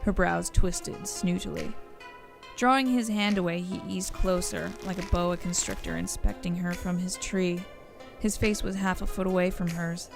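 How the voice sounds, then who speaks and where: A woman narrates a scripted audio adventure. Her voice sits fairly low with noticeable creak, and music plays clearly underneath. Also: fairly even intonation, moderate pace.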